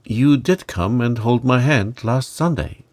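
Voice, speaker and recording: A man's voice: medium pitch, unhurried, dry recording, natural voice. A man voicing a lonely, loving young boy in a storybook, speaking with earnest pleading. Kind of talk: storybook character reading.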